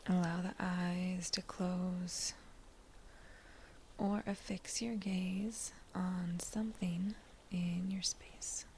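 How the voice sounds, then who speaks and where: A woman guides a meditation in a soft, hushed, breathy voice that sits fairly low. She speaks very slowly, in short gentle phrases with pauses between them. Faint ambient noise lies behind her. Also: flat delivery. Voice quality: breathy, hushed, near-whispered. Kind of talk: slow guided meditation narration.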